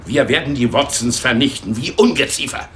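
An actor playing a menacing, sneering secret police officer and racist bully in a German radio drama, mocking and given to barking orders. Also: male voice, medium pitch, brisk, fairly bright tone, slight background noise, lightly acted. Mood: furious, barking. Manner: mocking, sneering, barks orders when angered.

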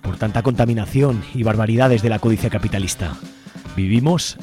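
A man's voice: lowish voice, a little fast. A man with an accent from Spain reading scripted narration as co-host of a radio show. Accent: Spain Spanish. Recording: music bed behind the voice. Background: music.

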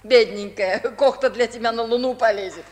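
A woman's voice: on the high side, normal speed, close to an everyday voice. An actress playing an old village grandmother who scolds, laments and dotes with fussy exclamations, in 1950s radio comedy style. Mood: teasing, fond. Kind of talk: Soviet 1950s radio musical comedy acting. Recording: 1950s Soviet radio recording.

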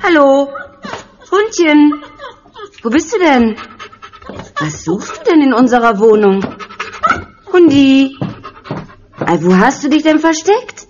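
A woman's voice: high-pitched, big pitch swings, slow pace, pauses often, darkish tone, heavily acted. An actress playing a bewildered, wistful mother in an audio drama, coaxing and pleading. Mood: puzzled, coaxing. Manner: coaxing, searching. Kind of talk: horror audio drama acting. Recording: dull, noisy old audio play.

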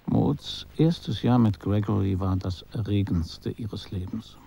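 A man performing a detached, ironic literary narrator in a radio drama, with measured, deliberate phrasing. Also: on the low side, gravelly, dark tone, slight background noise. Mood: measured, wry.

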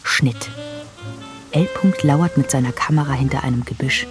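A woman narrating scripted screenplay-style scene directions in a measured, descriptive way. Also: very deep voice. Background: music.

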